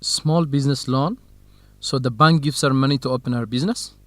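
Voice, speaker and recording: A man playing a warm, helpful young student researcher in an educational radio drama. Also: medium pitch, fairly quick, no room sound, lightly acted. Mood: helpful, interested.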